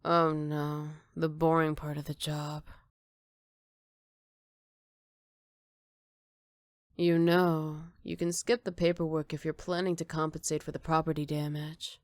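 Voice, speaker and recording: Woman playing a soft, low-voiced flirty female villain. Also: flat delivery, no room sound, lightly acted. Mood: sly, playful. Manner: sly, unhurried, mock-polite. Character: flirty, teasing, self-assured female villain in custody. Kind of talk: ASMR roleplay.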